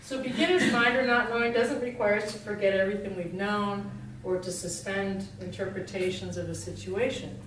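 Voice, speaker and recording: A woman's voice: mid-range voice, noisy background. A woman spiritual teacher giving an informal, conversational dharma talk to a group, often laughing at herself. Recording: echoey room, voice from far off.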